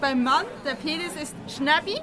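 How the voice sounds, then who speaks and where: A woman's voice: sits high, normal speed. A Swiss woman from Bern with a Bernese German accent, a cheerful guest who laughs easily. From Switzerland. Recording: crowd chatter in a pub.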